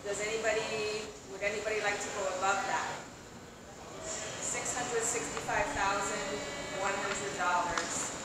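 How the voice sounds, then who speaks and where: A young woman reads out auction terms in a formal, measured voice, standing in an open covered plaza. She is caught by a camera some way off, so her voice rings with strong echo and a long tail. Steady noise sits under her words. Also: mid-range voice. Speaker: foreclosure auction commissioner. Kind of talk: reading legal auction terms aloud. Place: outdoor covered plaza of a building. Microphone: far camera on a tripod. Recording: echoey distant outdoor camera audio.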